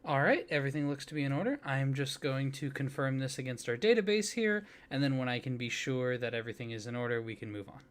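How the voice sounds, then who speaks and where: A soft-spoken man doing an ASMR roleplay, playing a polite office clerk who talks the listener through a form. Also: mid-range voice, quick pace, few pauses. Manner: soft-spoken, polite customer-service patter.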